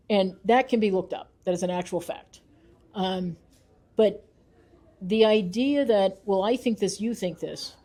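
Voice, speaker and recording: A woman with a soft, dark-toned, mid-pitched voice speaks as an interviewed researcher. She is conversational but emphatic, voicing short imagined exchanges to make her case.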